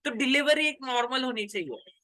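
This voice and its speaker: A man's voice: extremely high pitch, lively intonation, moderate pace, sharp tone. A man with an Indian accent teaching as a commerce tutor, chatty and colloquial, speaking straight to students in an informal lecture that mixes Hindi and English.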